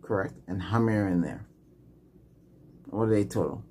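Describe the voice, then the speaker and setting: A man's voice: on the low side, moderate pace, darkish tone, a little hiss or hum. A middle-aged man improvising an ASMR doctor roleplay, giving short, simple questions and instructions as in a medical exam. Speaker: ASMR role-player acting as ER doctor. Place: small home room set up as clinic.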